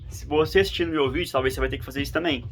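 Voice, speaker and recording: A man's voice: fairly high pitch, racing, music in the background. A man from in Brazil, vlogging in a rambling, casual monologue full of fillers and restarts.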